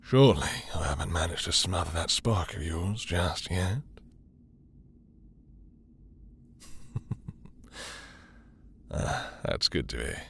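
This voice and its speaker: A man acting a sadistic, seductive vampire lord in an intimate roleplay audio drama, silky and menacing, with a breathy voice and theatrical pauses. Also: sits low, expressive, fairly bright tone, slight background noise, full character voice. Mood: commanding, teasing. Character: possessive, sadistic, seductive male vampire lord, taunting his captive.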